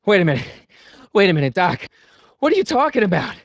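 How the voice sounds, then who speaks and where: An actor playing an excitable, wisecracking American teenage boy from the eighties in a video game, with slangy, youthful delivery. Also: high-pitched, fast, no echo, studio quality, full character voice. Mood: startled, incredulous. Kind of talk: animated video game voice lines.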